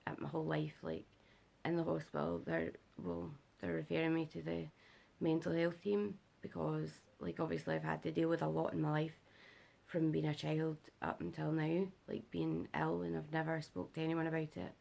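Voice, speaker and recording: A young British woman giving a casual vlog update, her voice rough and worn because she is unwell and has not slept, rambling with lots of fillers. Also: little pitch movement, moderate pace, darkish tone. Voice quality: rough gravelly voice. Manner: rambling, filler-heavy, low-energy. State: unwell and sleep-deprived. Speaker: vlogger.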